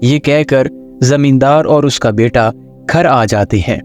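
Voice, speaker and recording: A man's voice: mid-range voice, slow. A man narrating a scripted children's cartoon story. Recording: faint music under the voice.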